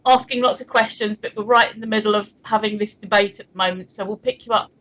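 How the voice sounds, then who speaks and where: A woman's voice: medium pitch, steady tone, fast, fairly bright tone, low-quality recording. A woman of fifty, co-hosting a casual livestream chat, talking freely and laughing easily while reading viewer comments. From England. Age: 50s. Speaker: livestream co-host.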